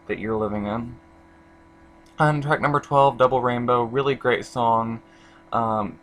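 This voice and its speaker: A young man from Indiana talks through an album in a loose, chatty vlog. His voice sits at an ordinary middle pitch. He wanders off track, restarts sentences and leans on fillers. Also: a bit bright, low-quality recording. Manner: rambling, lots of fillers and restarts. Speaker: album reviewer. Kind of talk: casual vlog review.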